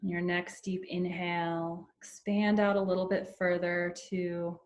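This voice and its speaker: A woman leading a guided meditation in a soothing, unhurried way with long pauses between phrases. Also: lowish voice, flat delivery.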